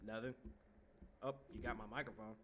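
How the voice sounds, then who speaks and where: A man's voice: lowish voice, steady tone, a little fast, fairly bright tone, slight background noise. A man with a stuffy nose from allergies, chatting loosely and playfully with his livestream viewers as host. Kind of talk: casual chat with stream viewers.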